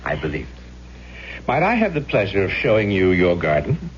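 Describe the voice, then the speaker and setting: An actor playing a charming, mysterious middle-aged gentleman with genteel, old-fashioned phrasing in a vintage radio drama. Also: low-pitched, huge pitch swings, moderate pace. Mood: courteous, gently teasing. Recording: dull, noisy old radio recording with hum.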